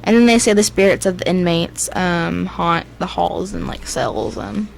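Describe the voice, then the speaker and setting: A woman's voice: lowish voice, lively intonation, moderate pace, creaky, slight background noise. A young woman with a Southern US accent telling ghost stories casually as a podcast co-host, informal and hesitant. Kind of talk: casual ghost-story chat.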